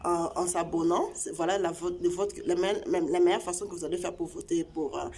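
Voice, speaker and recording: Halting woman host. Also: on the low side, fairly even intonation, a little mellow, a little hiss or hum. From Congo (DRC). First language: Tshiluba. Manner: halting, many repeated words and fillers. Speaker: channel host. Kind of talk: casual chat to viewers.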